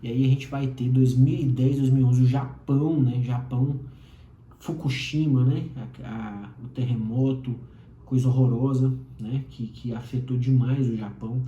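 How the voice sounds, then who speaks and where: A man's voice: medium pitch, fairly even intonation, moderate pace, mellow, highs cut off. A man in his thirties with a Brazilian accent, giving a lecturing solo commentary full of tag questions and fillers. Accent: Brazilian Portuguese. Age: 30s. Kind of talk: solo explainer monologue. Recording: roomy echo.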